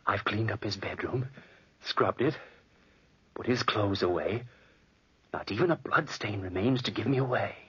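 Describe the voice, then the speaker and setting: An actor playing a crazed, gloating, murderous nephew with breathy, halting, melodramatic delivery in a 1940s radio horror drama. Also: male voice, fairly low pitch, fairly bright tone. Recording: muffled old 1940s radio recording.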